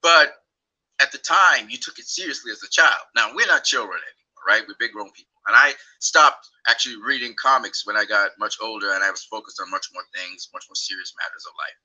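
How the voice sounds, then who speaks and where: Bright-voiced man rambling on a livestream. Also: lowish voice, a little fast, highs cut off. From Trinidad and Tobago. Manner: rambling, repeats words, talks while fiddling with phone. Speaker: livestream host. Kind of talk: casual livestream chat. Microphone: phone.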